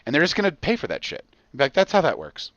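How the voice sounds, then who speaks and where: An American man hosting a casual comedic podcast, talking in an excitable, profane way with punchy repetition. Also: on the high side, fast talker, dry recording, highs cut off. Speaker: podcast host.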